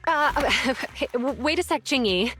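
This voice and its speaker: Game role: a brisk, dutiful young policewoman, lively pitch. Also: soft background music, exaggerated voice. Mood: earnest. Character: earnest, dutiful young female police officer. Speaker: public security officer, Zhu Yuan.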